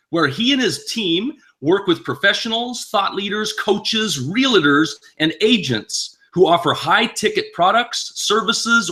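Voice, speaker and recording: A man's voice: high voice, sharp tone. A man from the Seattle area hosting an interview, polite and gracious, reading out a formal introduction of his guest. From Seattle area, US West Coast. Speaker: interview host.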